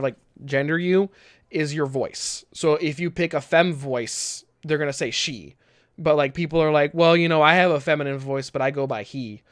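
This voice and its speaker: A man's voice: on the high side, fairly bright tone, clean tone, no room sound, studio quality. A young man hosting a casual gaming podcast, rambling and laughing often. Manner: rambling, laughs often, repeats words while restarting. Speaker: podcast host.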